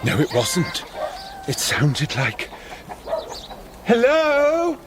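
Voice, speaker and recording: A man's voice: very high-pitched, huge pitch swings, very slow, background noise, heavily acted. An actor playing a fussy, camp, gushing male fan with a polite, fluttery manner in a comic radio play. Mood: nervous.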